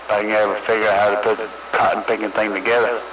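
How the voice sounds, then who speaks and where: A man's voice: on the low side, level tone, very fast. Man on a noisy CB radio. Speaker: CB radio operator. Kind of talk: casual CB radio chat.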